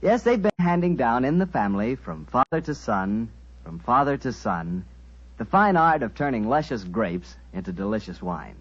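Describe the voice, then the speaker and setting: A man's voice: medium pitch, very animated, normal speed, breathy edge. An American man announcing in 1940s radio style, with folksy, upbeat sales patter. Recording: muffled 1940s radio broadcast recording.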